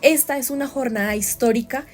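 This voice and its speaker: Woman doing a serious radio news lady, formal announcing, voice a bit high, soft music under it. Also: fairly quick. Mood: solemn, proud. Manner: formal, declarative. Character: solemn female radio news reporter announcing historic events. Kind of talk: scripted news bulletin.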